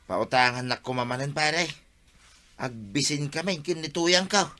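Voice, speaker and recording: A man's voice: fairly high pitch, a little slow, bright, forceful tone. A man playing a lazy, shameless debtor in an Ilocano-accented comedy radio drama, brazen and dismissive. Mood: wheedling. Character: lazy, shameless jobless debtor, stubbornly flippant.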